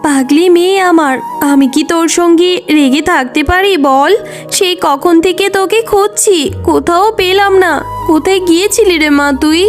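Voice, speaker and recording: A woman's voice: very high-pitched, moderate pace, soft background music. A woman voicing a scolding but caring fox mother in a children's cartoon, with an emphatic, nagging manner. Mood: tender.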